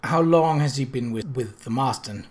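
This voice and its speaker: A narrator voicing a firm, measured gentlewoman who questions her maid in an audiobook mystery. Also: medium pitch, natural voice. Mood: probing, softened.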